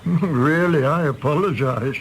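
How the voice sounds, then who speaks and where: Radio play: grumbling old man, slightly high voice, unhurried, self-deprecating, some background noise. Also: low-quality recording. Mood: weary, self-pitying. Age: older. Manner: grumbling, rambling, repeating himself.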